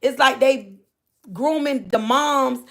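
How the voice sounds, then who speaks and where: A woman's voice: sits high, slow pace, gravelly, fairly bright tone. A woman from Florida with a Southern accent, hosting a casual livestream and chatting loosely with her viewers. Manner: chatty, drawling, scolds her kids off-mic. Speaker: livestream host. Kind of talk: casual live chat.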